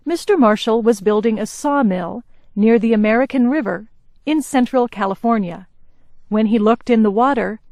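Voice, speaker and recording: Woman newsreader, slightly high, dry close studio read, slow and even. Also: no echo. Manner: slow, even, carefully paced reading. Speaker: news reader. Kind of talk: scripted news narration.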